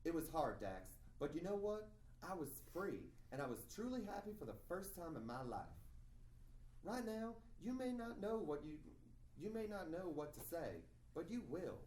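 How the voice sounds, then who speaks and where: A man acting an affectionate, candid mentor who coaxes and advises in an expressive way. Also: fairly high pitch, moderate pace, pitched above the performer's own voice. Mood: earnest. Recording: echoey room, distant mic, faint noise.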